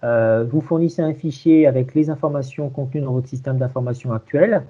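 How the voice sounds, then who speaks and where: Male technical presenter, medium pitch, halting and repetitive phrasing, dull lo-fi video-call sound. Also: fairly even intonation, warm tone. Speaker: presenter of a software tool. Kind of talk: informal technical demonstration. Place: remote video conference.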